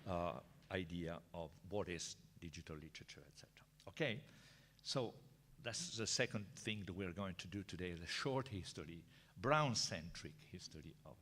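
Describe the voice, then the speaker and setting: A man's voice: deep voice, animated, normal speed, fairly bright tone. An older man with an Italian accent gives a university seminar lecture, hesitant and chatty, with many fillers and restarts. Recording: reverberant lecture hall.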